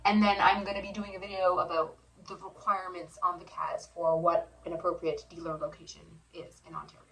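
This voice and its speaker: A soft-spoken woman co-hosting a casual chat, explaining step by step with many pauses and ums. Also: lowish voice, little pitch movement, distant mic, low-quality recording. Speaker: co-host.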